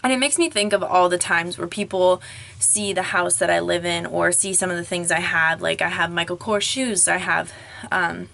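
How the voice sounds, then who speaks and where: A young woman vlogger, slightly hoarse from losing her voice, ranting casually to a camera propped up in her closet, full of "like" and "um". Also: lowish voice, steady tone, normal speed, bright, forceful tone. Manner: chatty, frequent "like" and "um", rising frustration. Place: small closet at home, clothes all around. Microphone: camera propped up on a crib.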